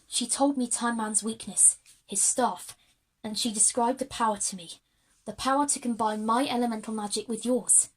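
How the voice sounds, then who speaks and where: Woman acting brave schoolgirl, high husky voice, earnest, reassuring, audio drama. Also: fairly even intonation, normal speed.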